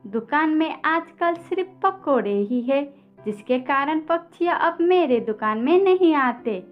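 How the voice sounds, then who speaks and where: A woman's voice: high-pitched, expressive, unhurried, lo-fi sound, soft background music. A woman voicing an earnest, plaintive female bird shopkeeper in a children's cartoon story. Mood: worried.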